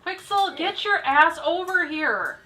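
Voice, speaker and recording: A woman's voice: extremely high pitch, lively intonation, measured pace, sharp tone. A woman hosting a gaming video with casual commentary, bantering with the characters in an exasperated way. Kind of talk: casual let's play commentary.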